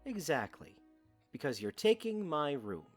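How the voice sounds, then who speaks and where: A woman's voice: deep voice, big pitch swings, a little slow, soft background music, exaggerated voice. A woman playing an earnest, bashful, protective young man in an audiodrama, hesitant and dryly understated. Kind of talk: audiodrama acting.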